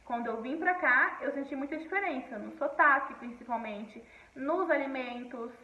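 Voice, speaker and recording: A woman's voice: high-pitched, normal speed, pushed and bright, echoey room, far from the mic, highs cut off. A woman teacher from Paraíba, now speaking with a Brasília accent, explaining a textbook lesson to her class and often checking in with "tá bom?". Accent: Brasília Portuguese. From Paraíba, Northeast Brazil.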